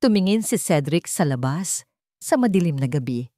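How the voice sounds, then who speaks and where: A woman's voice: low voice, very animated, moderate pace, dark tone, no echo, clean studio sound. A woman narrating a scripted romantic drama as a storyteller.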